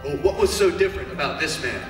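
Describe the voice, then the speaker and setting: A man's voice: a little high, lively intonation, quick pace, soft background music, close to an everyday voice. Man as urgent reporter, very echoey hall. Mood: urgent, probing. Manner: insistent, dramatic questioning. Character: urgent, probing TV news reporter on the scene. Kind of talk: news report in church stage drama. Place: church hall stage performance. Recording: very echoey hall, distant mic.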